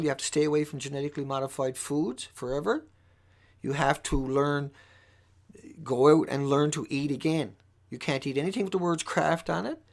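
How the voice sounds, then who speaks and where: Male livestream host, fairly high squeaky voice with heavy fry. Impassioned, unscripted monologue, urgent and repetitive for emphasis. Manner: urgent, emphatic, repeating phrases for stress.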